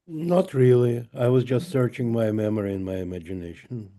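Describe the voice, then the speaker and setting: A man's voice: medium pitch, normal speed, dark tone. A man author from the Soviet Union answers questions on a video call with dry, wry humour and short replies. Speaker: author guest. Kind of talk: casual conversation.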